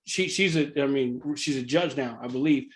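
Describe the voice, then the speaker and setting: A middle-aged man telling a personal story casually, in an animated way, repeating phrases for emphasis. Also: medium pitch, moderate pace. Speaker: real estate investor sharing his story.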